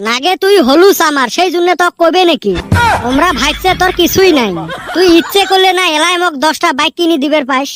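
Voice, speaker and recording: Woman as high-pitched lovesick cartoon village boy. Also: fairly quick, noisy background. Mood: wheedling. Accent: northern Bengal Rangpuri. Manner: pleading, whiny, bickering. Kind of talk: comic cartoon dialogue.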